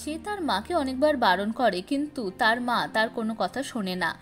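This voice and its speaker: Female cartoon storyteller, high-pitched and expressive, scripted fairy-tale narration. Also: moderate pace, soft background music.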